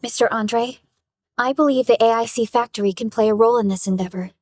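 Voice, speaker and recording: A woman playing a composed, dutiful young supervisor with a measured, formal manner in a video game. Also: on the high side, a little mellow, natural voice. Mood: composed, concerned. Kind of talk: video game character acting.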